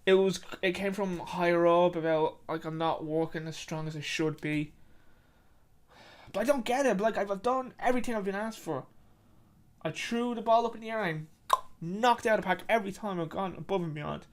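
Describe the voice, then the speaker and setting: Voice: medium pitch, moderate pace, voice pushed up, close to an everyday voice. A storyteller voicing a dejected young Irish man who rambles wearily and hesitantly in a short story. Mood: defensive, defeated.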